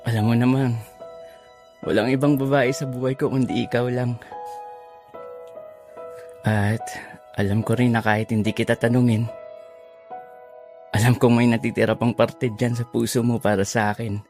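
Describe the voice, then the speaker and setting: A man acting an earnest, devoted young suitor in a romantic audio drama, speaking sincerely and in measured phrases. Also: medium pitch, little pitch movement, a little mellow, soft background music, lightly acted. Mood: tender, earnest.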